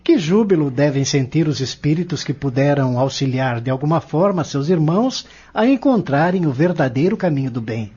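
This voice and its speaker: Man acting devout young man, lightly high voice, animated intonation, Brazilian accent, earnest, audio drama, muffled recording. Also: moderate pace, few pauses, husky. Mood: earnest, respectful. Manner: earnest, respectful.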